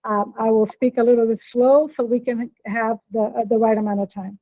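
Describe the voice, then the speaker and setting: A woman's voice: fairly high pitch, steady tone, moderate pace, warm tone, no echo, low-quality recording. A woman with an American accent, a professor giving a friendly keynote talk over a video call and checking in with her listeners. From born in Norway, raised in Brazil. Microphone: computer mic on video call.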